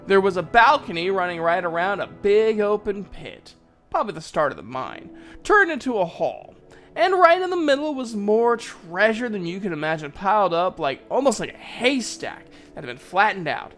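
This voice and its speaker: A man's voice: high-pitched, sing-song, normal speed, fairly bright tone, soft background music. A man narrating a fantasy story in the first person, in a folksy, conversational storyteller's manner. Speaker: narrator, voice actor.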